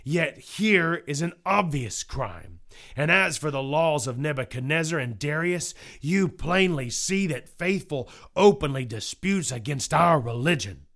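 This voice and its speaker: Stern, hateful judge for storybook allegory, played by a man. Declamatory, deliberate, unhurried delivery. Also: fairly high pitch, expressive, gravelly, a bit bright, pitched above the performer's own voice, full character voice. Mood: stern, accusing. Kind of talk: dramatized allegory narration.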